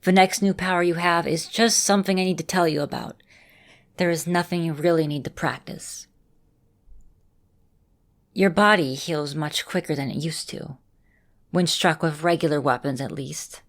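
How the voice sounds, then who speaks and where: A woman voicing a caring, composed vampire mistress and mentor in an ASMR roleplay, speaking directly to the listener in measured, formal phrasing. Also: low voice, fairly even intonation. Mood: calm, instructive. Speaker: voice actor in a roleplay audio.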